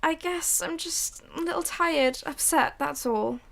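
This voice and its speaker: A woman's voice: high-pitched, unhurried, vocal fry, fairly bright tone, heavily acted. A woman playing a nervous, secretive housewife and mother in a radio drama, evasive and pleading. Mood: uneasy. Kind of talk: student radio drama acting.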